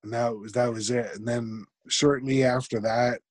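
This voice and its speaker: A man, a guest in an interview, talking reflectively and haltingly, with frequent restarts. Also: medium pitch, fairly even intonation, dry recording. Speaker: podcast guest. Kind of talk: conversational interview.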